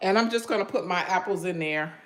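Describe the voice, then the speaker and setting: Loud-voiced chatty woman from Michigan. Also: fairly low pitch, a little fast, a little hiss or hum, low-quality recording. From Michigan, USA. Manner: chatty, rambling, frequent ums, talks straight to the chat. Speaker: live-stream host. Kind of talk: casual live-stream chat with viewers. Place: home kitchen.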